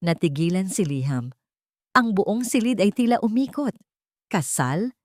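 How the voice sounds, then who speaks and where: A woman's voice: medium pitch, sing-song, normal speed, a little mellow, dry recording, studio quality. A woman with a Filipino accent reading a dramatic love story as scripted narration.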